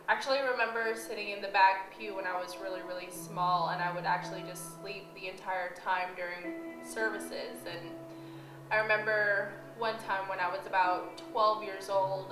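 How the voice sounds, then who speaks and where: A young woman from the Philippines shares her personal testimony in an earnest, reflective way. Her voice sits in a roomy space. Music plays steadily behind her. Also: medium pitch. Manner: earnest, reflective, with light laughs. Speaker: church member telling her story.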